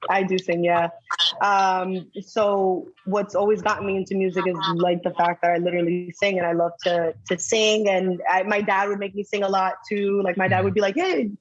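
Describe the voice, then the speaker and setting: A woman guest on a casual podcast, talking in a relaxed, hesitant way with many fillers. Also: medium pitch, moderate pace, dry recording, lo-fi sound.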